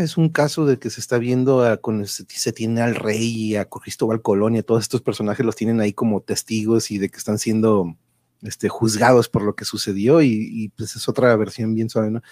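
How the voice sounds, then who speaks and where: A man's voice: medium pitch, fairly even intonation, moderate pace, darkish tone, no echo, studio quality. A man chatting casually as the host of a live show, playful and joking. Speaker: host of a live online radio-play show.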